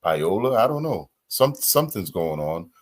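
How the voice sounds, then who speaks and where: A man's voice: low-pitched, sing-song, normal speed. A man hosting a reaction channel, talking casually and musing aloud with rhetorical questions.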